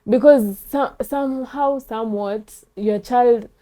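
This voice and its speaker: Guest, young Kenyan woman, mellow voice, hesitant and thoughtful delivery. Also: on the high side, takes it slowly. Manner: thoughtful, hesitant restarts, drops in Swahili. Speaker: podcast guest. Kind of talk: casual podcast chat.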